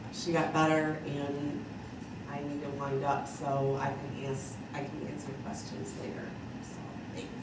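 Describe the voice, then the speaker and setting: A woman in her fifties speaking plainly and conversationally on a college panel. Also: sits low. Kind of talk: panel talk to an audience. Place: large hall at a college panel table. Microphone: handheld mic, heard from afar. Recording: echoey hall, distant mic, hiss.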